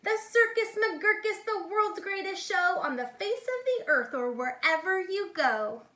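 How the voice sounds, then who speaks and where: A woman's voice: very high voice, very animated, unhurried, sharp tone, pitched above the performer's own voice, full character voice. A woman voicing a boastful, eager young boy who plays circus showman in a rhyming storybook, with grandiose singsong delivery. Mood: grand, boastful. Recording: echoey, reverberant room sound.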